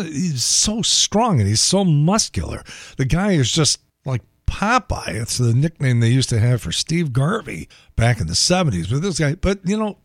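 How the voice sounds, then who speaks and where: Podcast host: American male, gravelly and thinning mid-range voice, wry casual monologue, dry close sound. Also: mid-range voice, no room sound. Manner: wry, colloquial, profane. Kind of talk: casual solo monologue on news and sport.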